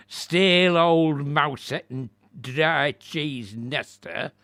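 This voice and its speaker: A man playing a scurrilous, bitter camp cynic in a Shakespearean audio drama, sneering and muttering in halting phrases. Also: mid-range voice, vocal fry, a bit bright, no echo, full character voice.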